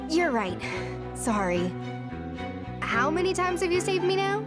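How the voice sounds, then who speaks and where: An actress playing a sweet, apologetic young female soldier in an anime game, gentle and earnest. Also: extremely high pitch, big pitch swings, a little slow, over loud music, full character voice. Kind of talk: dubbed anime game cutscene acting.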